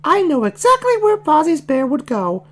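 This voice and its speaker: Squeaky high girl piglet, bossy, prim, swooping, storybook cartoon style. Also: wildly swooping pitch, moderate pace, a bit bright, heavily acted. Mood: confident. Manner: bossy, self-important.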